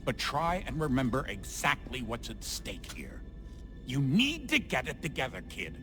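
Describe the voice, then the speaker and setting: A middle-aged father, curt, demanding and quick to scorn, in a video game drama. His pitch is average but moves around a lot, and music plays behind him. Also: wildly swooping pitch. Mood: stern, urgent. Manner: curt, cutting. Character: stern, demanding, scornful father of a grown son. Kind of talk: naturalistic video game acting.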